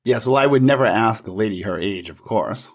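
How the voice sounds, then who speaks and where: A man's voice: fairly low pitch, fairly quick. A man hosting a podcast, interviewing in a polite, playful, partly scripted style.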